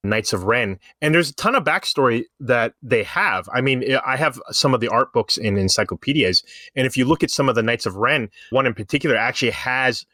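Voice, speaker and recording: A man talks as a podcast co-host in a loose, explanatory way. His pitch sits in the middle of the male range, and the sound is dry and close. He rambles through his points like a fan among friends. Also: a little fast, sharp tone, no echo. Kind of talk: casual fan discussion.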